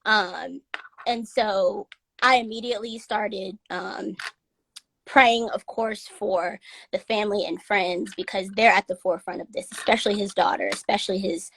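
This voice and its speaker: A woman's voice: mid-range voice, fairly even intonation, normal speed, gravelly, fairly bright tone, husky, no echo, low-quality recording. A woman from North Carolina with a light Southern accent, talking earnestly and reflectively as a guest on a casual live stream. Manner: earnest, reflective, laughs easily. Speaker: guest, content distributor.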